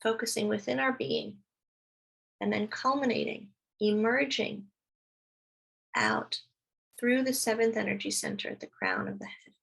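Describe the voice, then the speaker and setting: A woman leading a guided meditation, speaking slowly and soothingly as she gives gentle instructions. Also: medium pitch, lively intonation, vocal fry, slightly rough, poor audio.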